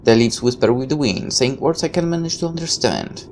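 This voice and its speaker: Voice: medium pitch, animated, a little fast, a little mellow, slight background noise. A person reading a visual novel aloud with casual asides, now and then stumbling over a line and correcting it.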